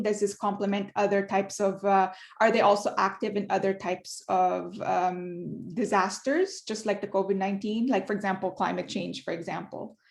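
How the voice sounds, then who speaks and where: A woman chairing an online academic panel over a video call, hesitant and apologetic, with light laughs. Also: medium pitch.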